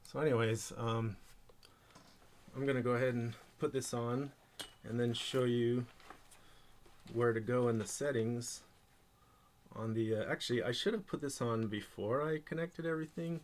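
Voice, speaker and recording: A man presenting an informal hands-on tech tutorial, speaking haltingly as he thinks aloud while handling gear. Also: medium pitch, fairly even intonation, slight background noise.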